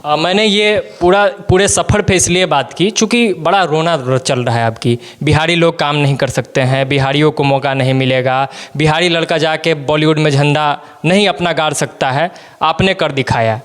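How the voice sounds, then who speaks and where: A man's voice: on the high side, normal speed, few pauses, a bit bright. A man interviewing an actor, asking questions and saying short words of agreement.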